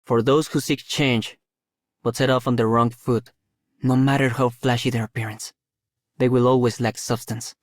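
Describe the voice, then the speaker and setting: A man acts out a composed male operative from an anime game. His voice sits in a middle register, recorded very dry. He speaks formally, with measured, pointed conviction. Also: medium pitch, steady tone, no echo, natural voice. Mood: composed, appreciative. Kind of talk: scripted game character lines.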